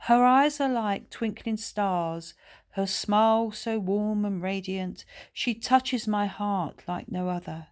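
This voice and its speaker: A woman's voice: mid-range voice, takes it slowly, pitched above the performer's own voice, close to an everyday voice. A woman voicing an earnest, lovestruck schoolboy who speaks in an overly proper, formal way, in a children's story. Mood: dreamy, romantic. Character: earnest, lovestruck schoolboy playing the good pupil.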